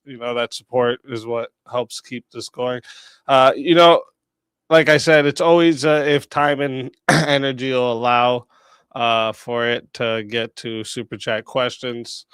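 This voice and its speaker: A man hosting and moderating a debate stream, wrapping up with rambling thanks to the chat, his voice going hoarse as he gets sick. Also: mid-range voice, fairly even intonation, a little slow, fairly bright tone, no room sound. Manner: rambling, many fillers, thanks the chat at length. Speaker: debate show host and moderator. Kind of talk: casual livestream wrap-up.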